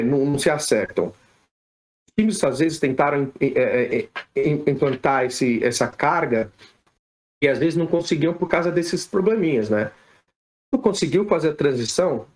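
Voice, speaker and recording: A man from Brazil, a physiotherapist guest on a podcast, answering questions in a relaxed, conversational way with frequent tag questions and restarts. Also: medium pitch. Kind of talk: casual podcast interview answers.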